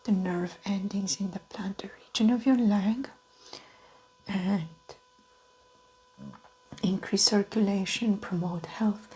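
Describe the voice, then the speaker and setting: A woman doing a soft-spoken ASMR medical roleplay, gently giving step-by-step instructions in a breathy near-whisper. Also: medium pitch, fairly even intonation, takes it slowly, long pauses, rough recording. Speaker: ASMR host playing a doctor.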